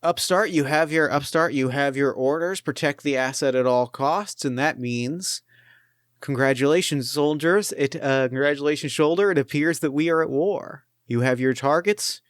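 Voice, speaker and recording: A commanding male captain barking orders to his pilot in a tabletop game, as a man plays him. His delivery is clipped and firm, with a smooth, clean voice. The sound is dry. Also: on the high side, moderate pace, no echo, natural voice. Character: stern male military captain over the radio.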